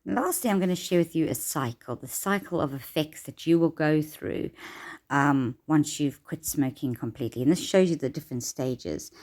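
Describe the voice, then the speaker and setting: A woman talks through her self-help advice in a relaxed, encouraging way. Her voice sits low and sounds a little hoarse from a cold. She often restarts her sentences as she chats along. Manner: chatty, encouraging, repeats and restarts. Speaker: life coach. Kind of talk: casual self-help talk to camera.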